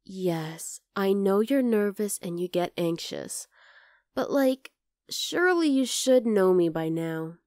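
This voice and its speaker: Woman acting a slow-paced, teasing wolf-girl roommate. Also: mid-range voice, animated, a little mellow, no room sound. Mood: fond, teasing. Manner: casual, exasperated but tender, sighing. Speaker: voice actor in audio roleplay. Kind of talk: ASMR roleplay, acted one-sided dialogue.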